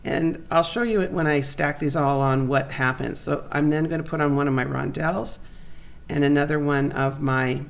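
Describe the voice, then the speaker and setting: Croaky, creaky woman teaching a craft. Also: low voice, steady tone, normal speed. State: croaky voice from a virus. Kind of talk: step-by-step craft tutorial.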